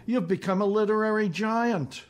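A man's voice: high voice, moderate pace, pitched above the performer's own voice, lightly acted. A man reading a short story, voicing an effusive, nagging, slightly hysterical aging mother with a gushing, insistent manner. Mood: gushing, excited.

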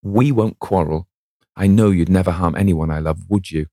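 A man's voice: low-pitched, normal speed, warm tone, dry recording, clean studio sound. A man voicing a young actress, dreamy and lovestruck, speaking in a breathless, rapturous way. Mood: pleading.

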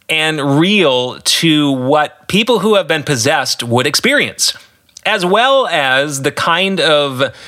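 A man giving solo podcast commentary as an author and host, explaining a story in deliberate, emphatic phrases with dramatic pauses. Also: a little high, pushed and bright.

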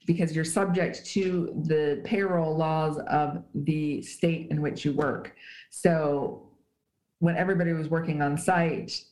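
A woman's voice: low voice, a little mellow, roomy sound, poor audio. A woman, an HR writer, speaking as an interview guest, talking emphatically and explaining with examples. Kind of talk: conversational interview. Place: at home in Switzerland.

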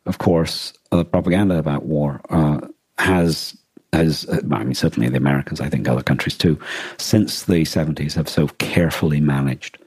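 Very deep-voiced, husky man. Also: darkish tone, dry recording, low-quality recording. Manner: halting, thoughtful, rephrases often. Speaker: podcast co-host. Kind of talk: casual discussion of a radio drama and war.

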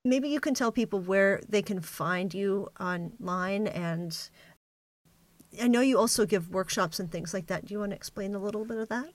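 A woman speaking as a podcast host, addressing a guest or listeners in a clear presenting style. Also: mid-range voice, moderate pace, no echo. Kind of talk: podcast interviewing and scripted announcement.

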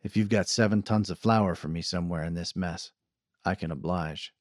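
Western audiobook wagon driver, male reader: deep-set, low-key, wry, unhurried drawl, close dry recording. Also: dry recording, lightly acted. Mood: wry, unbothered.

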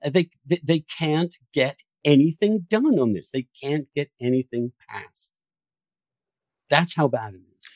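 A man hosting a podcast, talking through opinionated political points in a halting, emphatic way, restarting phrases as he goes. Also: fairly high pitch, a little mellow, no echo. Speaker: podcast host.

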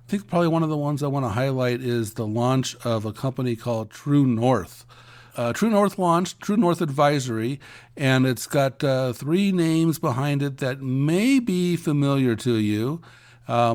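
A man co-hosting an industry news podcast, giving conversational commentary in a halting way, often restarting his phrases. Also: medium pitch.

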